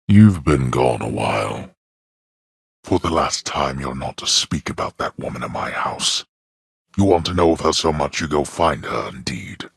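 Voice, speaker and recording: A haughty underworld god-king, extremely deep, husky, slow deliberate delivery, game voice. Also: a bit bright, dry recording, studio quality, exaggerated voice. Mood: commanding. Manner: haughty, scornful, commanding. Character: stern, contemptuous male god-king of the underworld, harsh father. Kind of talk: video game voice acting.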